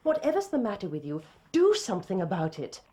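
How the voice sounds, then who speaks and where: A woman's voice: medium pitch, wildly swooping pitch, a little fast, warm tone, slightly rough. An actress playing an imperious, impatient upper-class lady in a period radio play, haughty and snapping. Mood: commanding, impatient. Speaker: actress in an audio drama.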